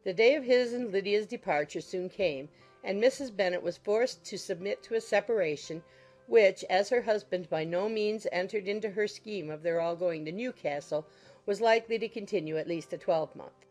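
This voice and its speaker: A woman's voice: mid-range voice, normal speed, a little mellow, soft background music. A woman reading scripted literary narration as an audiobook narrator.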